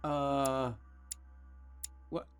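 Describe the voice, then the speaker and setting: A man's voice: mid-range voice, flat delivery, very slow, smooth, faint music behind. A man giving casual, theatrical and exclamatory commentary while playing a video game.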